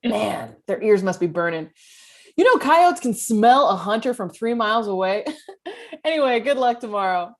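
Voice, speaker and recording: A cocky, shady woman played by an actress wisecracks her way through a comedy script. Her pitch sits in a middle register but jumps around in a very lively way. She sounds like a brash small-time crook at a table read. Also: moderate pace, close to an everyday voice. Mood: breezy, mocking. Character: shady, brash woman selling poison and racing coyotes.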